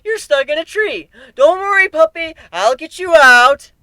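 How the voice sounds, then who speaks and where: A man's voice: extremely high pitch, lively intonation, a little slow, sharp tone, no echo, voice pushed up, exaggerated voice. A man voicing a gleeful, childishly mad young griffin warrior with sing-song baby talk in a dramatic fantasy story reading. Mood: mock sweet.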